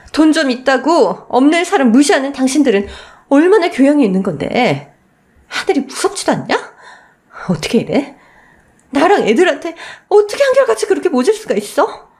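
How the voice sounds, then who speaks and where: A woman's voice: high voice, darkish tone, pitched above the performer's own voice. A woman playing a defiant, fed-up middle-aged wife and protective mother, scolding in a radio story.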